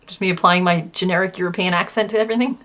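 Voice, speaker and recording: A woman's voice: lowish voice, fairly even intonation, very fast. A woman chatting casually on a crochet livestream, rambling with many fillers and reading chat aloud. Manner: reads chat aloud and counts stitches quietly. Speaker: crochet streamer.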